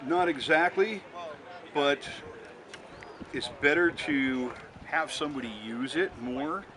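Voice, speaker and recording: A man's voice: on the high side, slow. A man being interviewed as a hobbyist restorer, explaining technical work step by step in a casual, matter-of-fact way outdoors. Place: outdoors at a busy swap meet. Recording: on-location recording amid loud crowd.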